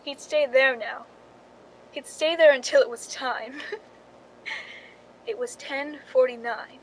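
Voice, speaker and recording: A high-pitched female voice over noticeable background noise, a woman acting a jealous, scheming stand-in. She confides her dark plot as radio-drama narration, tense and suspenseful. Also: slow, rough recording. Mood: tense, knowing. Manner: suspenseful, confessional storytelling.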